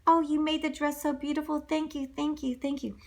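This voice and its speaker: A woman's voice: high-pitched, little pitch movement, fairly quick, a little mellow, voice pushed up. A woman voicing a sweet, grateful young heroine in a read-aloud storybook. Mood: gushing grateful. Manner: breathless, gushing.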